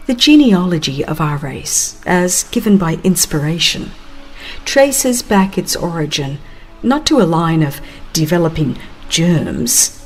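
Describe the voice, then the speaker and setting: A woman narrates a religious audiobook over music. Her voice sits a little low and she reads very slowly, giving each phrase solemn, measured weight. The delivery is scripted and expressive, in a dramatized style.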